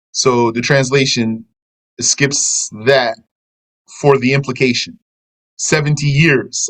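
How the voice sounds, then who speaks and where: A man's voice: medium pitch, fairly bright tone, poor audio. Man teaching a religious text. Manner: explanatory, reads a passage then comments, mixes in Arabic terms. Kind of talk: religious lesson, commenting on a translated text.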